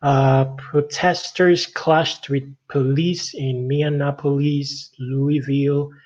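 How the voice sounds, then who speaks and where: A middle-aged man hosts a casual livestream commentary on a dull, lo-fi microphone. His mid-pitched voice moves in a halting, rambling way, leaning often on verbal tags. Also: flat delivery, darkish tone. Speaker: livestream host. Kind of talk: casual tech news commentary to viewers.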